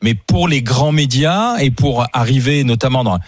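A man's voice: medium pitch, animated, normal speed, dry recording. A man hosting a radio panel discussion in a conversational style. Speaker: radio talk show host.

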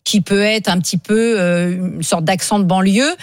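A woman on a radio panel, talking in an animated, opinionated way, often restarting her sentences. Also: mid-range voice, normal speed, no echo, studio quality. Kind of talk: radio debate.

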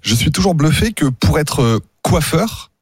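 A man whose voice rises and falls in lively swings makes wry points in a debate. His voice sits a little high, and the sound is dry and close. He is one of the regular panelists. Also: normal speed, slightly rough, no room sound. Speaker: radio panelist. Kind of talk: talk radio debate.

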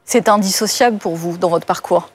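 A woman's voice: on the low side, a little fast, breathy edge. A woman interviewing a guest, asking probing questions with short acknowledgments in between. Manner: brief backchannels, probing questions.

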